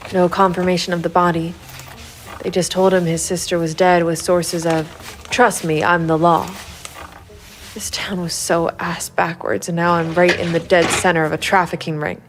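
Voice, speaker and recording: An actress playing a hard-boiled, brooding and quietly determined female private investigator in a gritty noir audio drama. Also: fairly low pitch, level tone, moderate pace, soft background music, natural voice. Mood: grim, wry. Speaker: voice actor narrating and playing the lead of an audio drama. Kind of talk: gritty noir audio drama acting and first-person narration.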